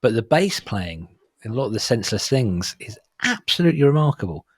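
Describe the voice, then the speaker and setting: A man's voice: medium pitch, very animated, brisk, breathy edge, no echo, studio quality. A middle-aged man hosting a music podcast in casual chat, making wry, dismissive asides as he reads out a track list. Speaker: podcast host.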